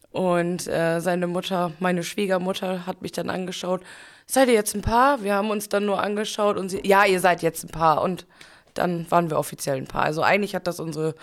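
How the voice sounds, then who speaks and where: A woman's voice: on the low side, gravelly. Chatty woman in her thirties. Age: 30s. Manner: chatty, storytelling with fillers. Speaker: bride, guest. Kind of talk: casual interview talk.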